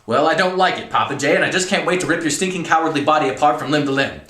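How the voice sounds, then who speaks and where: Storybook colonel, played by a man: loud exasperated bully, bright strained pitch, echoey room. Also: very fast. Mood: sneering, menacing. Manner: shouting, exasperated, badgering interrogator. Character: furious, bullying, big military colonel barking at cadets.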